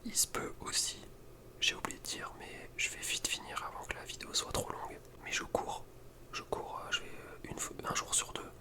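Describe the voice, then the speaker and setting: A young man whispers softly and breathily in an informal, rambling ASMR chat. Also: normal speed. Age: young adult. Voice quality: soft, breathy unvoiced whisper. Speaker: video creator. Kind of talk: whispered ASMR chat about personal routine.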